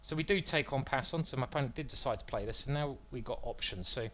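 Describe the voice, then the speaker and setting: A man's voice: medium pitch, quick pace, a bit bright. Self-mocking man commentating live chess. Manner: self-deprecating, joking, thinking aloud about moves. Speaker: chess streamer commentating his own games.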